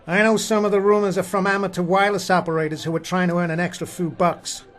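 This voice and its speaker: A man's voice: sits high, level tone, fast, a bit bright. A man playing an earnest, confident newspaper editor in a radio play, insistent but deferring to his boss.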